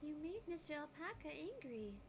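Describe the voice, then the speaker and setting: Woman acting as ditzy teenage anime girl, high lively voice, airy, childlike, noisy background. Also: moderate pace. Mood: plaintive. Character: ditzy, gentle sixteen-year-old anime girl. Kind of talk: visual novel character acting.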